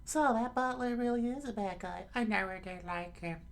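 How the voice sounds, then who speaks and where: A man voicing an alarmed, excitable young village boy in a strained, urgent falsetto while reading a story aloud. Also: moderate pace, creaky. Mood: astonished. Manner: exclamatory, urgent.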